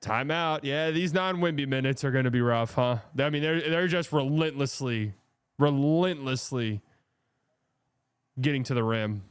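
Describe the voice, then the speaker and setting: Man hosting a live stream, blunt and profane, smooth clean voice, middle pitch. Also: a little slow. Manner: blunt, profane, reads and answers chat. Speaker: streamer hosting a watch party. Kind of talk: casual live game-watching chat.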